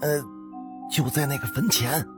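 A man's voice: on the high side, steady tone, a little slow, voice pushed up, close to an everyday voice. Guy doing a spooked servant in a ghost story, earnest, faint music behind. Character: uneasy male servant reporting an eerie discovery.

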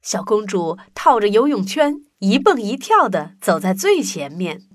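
Animated woman narrating a children's story. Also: medium pitch, a little slow, over music.

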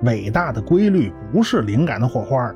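A man's voice: medium pitch, big pitch swings, moderate pace, mellow, muffled recording, soft background music. A man playing a cocky, talkative scientist in a radio drama, with a blustering, explanatory way of talking. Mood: emphatic. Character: cocky, talkative male scientist and science populariser, brash and lively. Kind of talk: Chinese radio drama acting.

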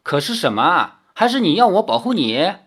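一个男人在讲故事时扮演一个大胆爱逗人的年轻女剑客，语调俏皮。. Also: male voice, sits high, sing-song, a little fast, a bit bright, breathy edge, pitched above the performer's own voice, heavily acted. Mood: playful teasing. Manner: teasing, playful. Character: spirited young swordswoman, bold and teasing.

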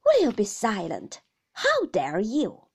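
A cold, domineering husband from a classic storybook , voiced by a woman. He speaks slowly and pompously, leaning hard on his words with commanding indignation. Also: a little high, big pitch swings, a little mellow, husky, heavily acted. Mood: thundering. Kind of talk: audiobook character acting of a classic novel.

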